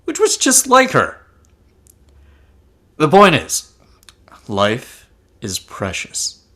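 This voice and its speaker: A man's voice: fairly high pitch, huge pitch swings, extremely slow, bright, forceful tone, heavily acted. A man in an amateur table read playing an earnest, sentimental ghost of a husband. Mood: emotional, indignant. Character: earnest, sentimental male ghost reminiscing about his wife. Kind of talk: amateur table read acting over video call.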